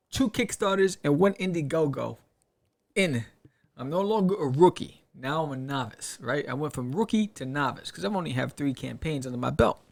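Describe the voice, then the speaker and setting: A man hosting a podcast in a casual solo talk, rambling in an animated way and often throwing out rhetorical questions. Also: on the high side, gravelly. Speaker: podcast host. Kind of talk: casual solo talk to camera or mic about crowdfunding.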